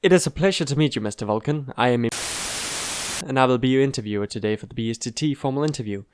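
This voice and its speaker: A man's voice: medium pitch, quick pace, noisy background, close to an everyday voice. A man playing a courteous, encouraging board interviewer in an amateur audio drama, speaking formally. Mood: courteous, impressed.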